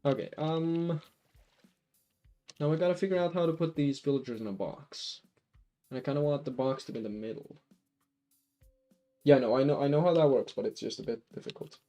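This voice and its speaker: Finnish-accented male streamer, mellow tone, faint music behind, loose rambling delivery. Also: fairly high pitch, fairly quick, pauses often. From Finland. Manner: rambling, lots of restarts and fillers. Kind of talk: casual live-stream chat while gaming.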